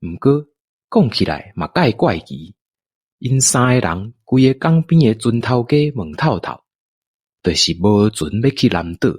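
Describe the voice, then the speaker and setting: A man's voice: medium pitch, very animated, measured pace, breathy edge, dry recording, clean studio sound. A man with a Taiwanese accent narrating a scripted radio drama as its storyteller.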